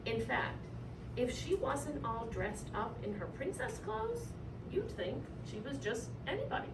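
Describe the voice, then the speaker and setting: Echoey, animated woman reading a children's story. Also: a little high. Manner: animated, deliberate, dramatic emphasis. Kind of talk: expressive read-aloud of a children's picture book. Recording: echoey room, distant mic, clear noise.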